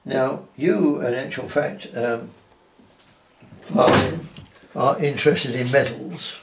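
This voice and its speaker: An elderly man, giving an informal guided tour in a halting, measured, formally courteous way. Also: mid-range voice, heavy background noise. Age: 80s or older. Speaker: collector showing his archery collection. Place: wood-floored indoor collection room. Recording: roomy indoor echo.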